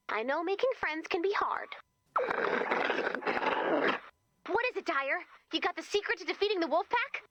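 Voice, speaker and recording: A woman's voice: extremely high pitch, muffled recording, heavy background noise. A woman playing a peppy, encouraging young mission leader in a video game, chatty and coaxing. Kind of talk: video game character voice acting.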